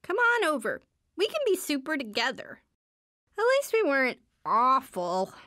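Teenage superhero girl, shy, wry, hesitant, high swooping intonation, dry close sound, video game lines. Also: wildly swooping pitch, measured pace, sharp tone, no echo, full character voice. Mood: upbeat, wry.

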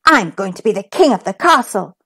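A woman's voice: medium pitch, very animated, fairly quick, fairly bright tone, breathy edge, voice pushed down, heavily acted. A woman voicing a big, bad, bossy egg king in a storybook, boastful and taunting.

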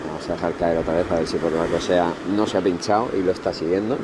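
A man's voice: low voice, fairly even intonation, fast, noisy background. Spanish man narrating from a kayak. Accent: Spanish from Spain. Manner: chatty, explains technique to viewers. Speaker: fishing vlogger. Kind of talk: casual on-the-water commentary. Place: outdoors on a kayak at sea.

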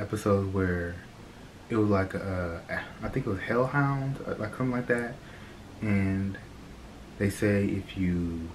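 A young man chats casually on camera, tossing out slangy, playful comments between clips. His voice sits low. The webcam audio carries a clear layer of background noise. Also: a little mellow. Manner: loose, slangy, playful asides. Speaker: reaction channel host. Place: bedroom, indoors. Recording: noisy home webcam audio.

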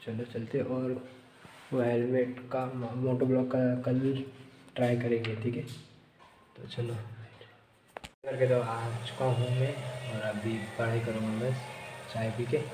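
A man's voice: mid-range voice, little pitch movement, slow, pauses often. A young man chatting casually to his phone as a vlogger, in a handheld recording. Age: young adult. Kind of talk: casual vlog chat to camera. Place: at home, moving between rooms, rooftop and yard. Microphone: handheld phone at arm's length. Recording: noisy handheld recording with ambient sound.

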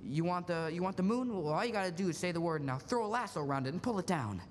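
Young man playing an excitable, high-voiced small-town dreamer. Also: rapid-fire. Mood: eager, playful. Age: young adult. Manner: stammering, talkative, emphatic. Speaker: student actor. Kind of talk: live radio play in 1940s manner. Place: large school auditorium stage. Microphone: stage stand microphone. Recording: echoey auditorium, faint hiss, rumble.

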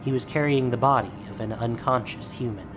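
A man giving steady, solemn scripted narration of a fantasy story. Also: mid-range voice, fairly quick, music in the background, poor audio.

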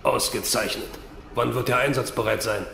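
A man's voice: medium pitch, fairly even intonation, moderate pace, vocal fry, pushed and bright, a little hiss or hum. A man acting a smug, menacing villain in command of a military base in an audio drama. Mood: cold, satisfied.

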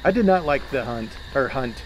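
A man chatting casually while playing a co-op horror game, excitable and jokey, often exclaiming. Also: mid-range voice, moderate pace, a little hiss or hum, rough recording. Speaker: video game player.

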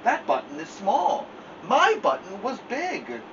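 A fussy, whiny toad, put on by a man reading a storybook aloud, pitched well above the reader's own voice and swinging up and down as he complains. The sound is dull and lo-fi, picked up from some way off the mic, with an air conditioner humming clearly behind it. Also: wildly swooping pitch, slow pace, distant mic, background noise, exaggerated voice. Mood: complaining. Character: fussy, easily upset male toad.

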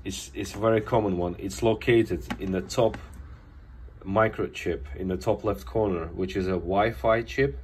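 Low-voiced male repair tech, stuffed up with a cold, walks through a bench test plainly, audible room noise. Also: fairly even intonation, moderate pace, darkish tone. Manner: plain, explanatory, some restarts. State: has a cold. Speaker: phone repair technician. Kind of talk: casual hands-on repair demo. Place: repair workbench indoors. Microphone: phone camera held over bench.

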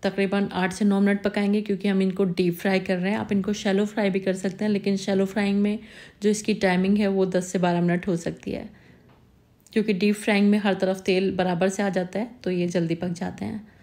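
A woman talks through a recipe in a steady, instructive voice-over. Her pitch barely moves, and her tone is soft and mellow. She explains each step patiently, repeating the key tips. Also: fairly quick. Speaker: cooking channel host.

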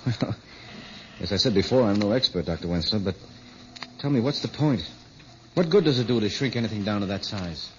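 An actor playing a skeptical, blunt journalist in an old radio drama, talking casually and probing. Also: male voice, fairly low pitch, expressive, fairly quick, pauses often, lightly acted. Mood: skeptical, curious. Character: brisk, skeptical American male journalist. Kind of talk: 1950s radio drama acting. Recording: muffled old radio recording with hiss.

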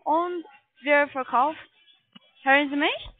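Female phone sales agent, non-native speaker, higher voice, persuasive but halting, tinny telephone sound. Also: no echo. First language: not German. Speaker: call centre sales agent. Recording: tinny narrow phone line.

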